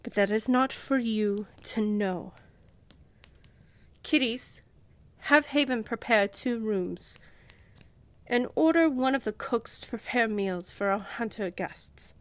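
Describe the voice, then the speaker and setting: A woman playing a poised vampire countess in a fantasy audio drama, measured and regal, condescendingly affectionate, with a breathy voice that often drops to a whisper. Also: a little high. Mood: composed, gracious. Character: poised, commanding young vampire countess, coolly indulgent.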